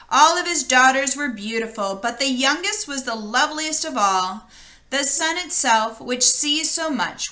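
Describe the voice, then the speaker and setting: A woman reading a fairy tale aloud as an expressive storyteller, with deliberate dramatic emphasis. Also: high-pitched. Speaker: storybook reader.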